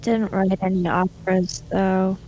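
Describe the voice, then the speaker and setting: A woman's voice: mid-range voice, level tone, a little slow, darkish tone, lightly acted, rough recording. A woman playing a blunt, moral young hero in a tabletop roleplay, plain-spoken and dry. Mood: dry, unimpressed. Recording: faint background noise.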